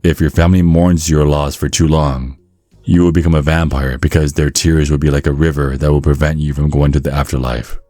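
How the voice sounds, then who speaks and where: A man reading scripted ASMR narration in a measured, storytelling manner. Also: a bass voice, level tone, dark tone, no room sound, faint music behind.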